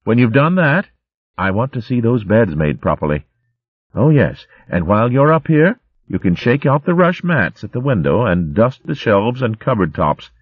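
A man's voice: medium pitch, big pitch swings, slightly rough, no echo, phone-quality sound, pitched above the performer's own voice. A man voicing a stern female mouse nurse who scolds and gives orders. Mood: scolding. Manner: scolding, brisk commands.

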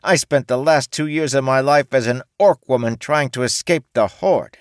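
A man voicing a brash female orc who used to be a man, loud and bossy. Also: mid-range voice, big pitch swings, slow pace, no room sound, clean studio sound, voice pushed up. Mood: smug.

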